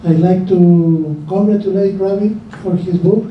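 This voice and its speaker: A Chilean man gives personal testimony from an audience in a notably high voice. He speaks slowly, pausing between phrases. The recording is dull and swamped in echo. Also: steady tone, a little hiss or hum. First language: Spanish. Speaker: audience member. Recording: very echoey room, dull distant sound.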